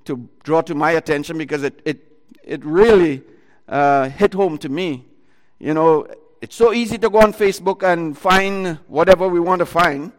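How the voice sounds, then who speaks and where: A man with a high-set voice preaching loudly into a microphone to a congregation. He retells scripture in bursts, stopping and restarting and filling gaps with "uh" and "um". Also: big-room sound. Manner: loud voice, talks in bursts with many fillers and restarts. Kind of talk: sermon retelling a Bible story.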